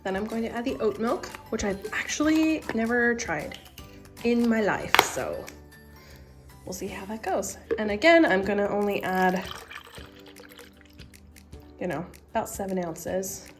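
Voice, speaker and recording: A young woman vlogging casually from home, her voice raspy and hoarse from being sick, chatty and upbeat. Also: mid-range voice, very animated, unhurried, long pauses, over music. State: hoarse from illness. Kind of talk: casual recipe vlog. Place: living room at home, indoors.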